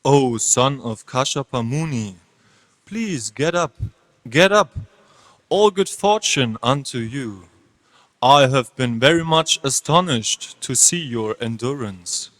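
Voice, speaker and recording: A man playing a majestic creator god in an amateur dramatic reading, solemn, measured and declamatory. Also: medium pitch, animated, fairly bright tone. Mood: solemn, benevolent. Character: majestic creator god Brahma addressing an ascetic. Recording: reverberant hall.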